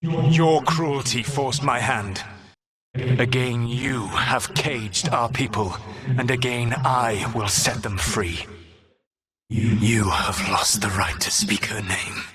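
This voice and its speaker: A man acts out an ancient elven god from a fantasy video game, a rebel leader turned calculating mentor. His middle-pitched male voice is grave and measured, with formal, deliberate phrasing that carries solemn weight. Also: steady tone, big-room sound, crowd noise, close to an everyday voice. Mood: cold, defiant. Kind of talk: video game dramatic voice acting.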